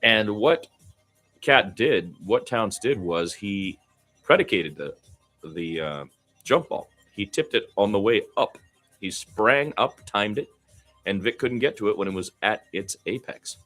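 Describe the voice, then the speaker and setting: Low-voiced man calling basketball play-by-play. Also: lively intonation, a little slow, fairly bright tone, faint music behind. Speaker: play-by-play commentator. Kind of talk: amateur radio play-by-play basketball commentary.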